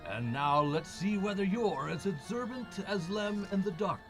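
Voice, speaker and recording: A man's voice: high-pitched, animated, moderate pace, a bit bright, soft background music. A man narrating a mystery as an old-time radio announcer.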